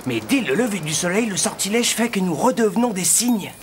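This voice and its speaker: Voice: mid-range voice, big pitch swings, a little fast, slight background noise, natural voice. An actor playing an earnest enchanted prince in a cartoon fairy tale, solemn in manner. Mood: solemn, urgent. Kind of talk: animated fairy tale acting.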